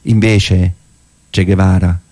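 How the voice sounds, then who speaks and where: A man performing a theatrical narrative monologue in a conversational, ironic manner with rhetorical pauses. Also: sits low, level tone, dark tone. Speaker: stage actor and monologist.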